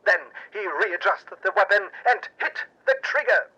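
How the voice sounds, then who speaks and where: A man's voice: high voice, animated, unhurried, bright, forceful tone, breathy edge, muffled recording, heavily acted. A man playing an earnest, paranoid radio news anchor, narrating pulp drama in a dramatic, portentous manner. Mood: breathless, dramatic.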